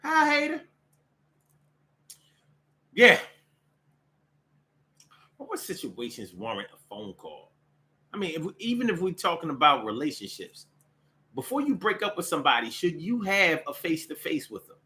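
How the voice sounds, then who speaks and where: Bright-voiced American man talking streetwise. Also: fairly high pitch, huge pitch swings, normal speed, long pauses. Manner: streetwise slangy talk, repeats phrases for emphasis. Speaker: solo show host. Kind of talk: casual opinionated monologue.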